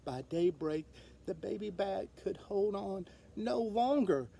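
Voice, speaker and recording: Female storybook reader: slow-paced, mid-pitched, expressive, dramatic read-aloud narration. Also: gravelly, breathy edge. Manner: expressive, dramatic delivery with sound words.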